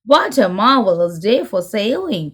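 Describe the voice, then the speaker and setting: A woman's voice: medium pitch, very animated, unhurried, husky. A woman voicing a cheerful, boisterous old grandfather rabbit in a children's storybook, exclamatory and singsong.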